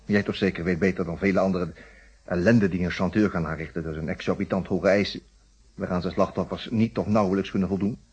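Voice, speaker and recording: A man's voice: deep voice, steady tone, fast talker, darkish tone, slightly rough. An actor playing a wry, questioning middle-aged lawyer in a Dutch radio detective play, musing and often repeating his words hesitantly. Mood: questioning, doubtful. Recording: dull, muffled old radio recording.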